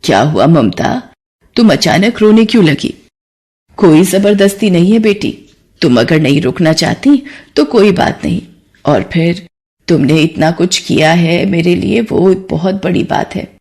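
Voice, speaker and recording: A woman voicing a kind, gentle older woman who speaks softly. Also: fairly low pitch, lively intonation, measured pace. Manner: gentle, soft-spoken.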